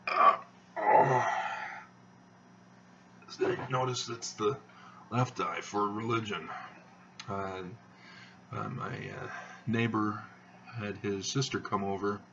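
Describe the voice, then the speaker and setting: A man hosting his own video channel, talking in a slow, halting monotone with many fillers as he rambles. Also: on the low side, gravelly, distant mic, highs cut off, noisy background. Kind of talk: rambling monologue to camera.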